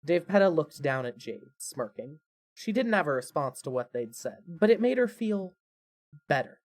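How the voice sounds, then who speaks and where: A narrator reading a scripted fan fiction podcast in an expressive, measured storytelling manner. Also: medium pitch.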